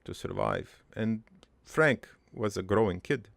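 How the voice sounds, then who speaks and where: Guy doing a gruff croaky army vet prankster for a cartoon, crude, halting matter of fact storytelling, really dry sound. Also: fairly low pitch, wildly swooping pitch, dry recording, exaggerated voice. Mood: matter-of-fact, reminiscing. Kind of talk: improvised in-character cartoon monologue.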